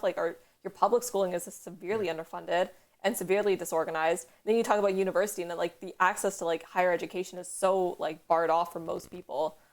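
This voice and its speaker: A young woman from Canada hosting a casual stream chat, rambling quickly with lots of "like" and casual swearing. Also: lowish voice. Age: young adult. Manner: fast, rambling, heavy use of "like", swears. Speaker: streamer host.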